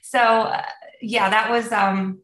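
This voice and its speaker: A woman's voice: fairly high pitch, level tone, slow pace, reverberant, far from the mic. A woman in her thirties, a podcast guest raised in the United States, telling her own story openly and reflectively, with frequent ums. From born in Germany, raised in the United States. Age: 30s. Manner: open and reflective, with many ums and soft laughs. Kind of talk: candid personal storytelling.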